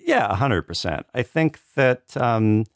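A man's voice: mid-range voice, big pitch swings, measured pace, no echo, studio quality. A man talking casually as a podcast panelist, hedging and rambling, with "like" peppered through his sentences. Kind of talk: casual conversational discussion.

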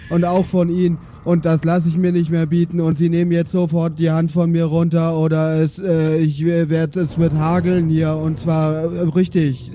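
A man's voice: on the high side, warm tone, slight background noise, lightly acted. A man playing an indignant, stubborn dwarf who protests in rambling sentences, in an improvised fantasy audio play. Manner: rambling, protesting, matter-of-fact. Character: indignant, stubborn male dwarf demanding respect.

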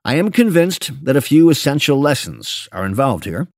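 A man narrating a written financial piece aloud in a measured, articulate and deliberate way. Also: a little high, very animated, no echo, clean studio sound. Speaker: professional narrator reading an investment memo. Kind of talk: scripted narration, read aloud.

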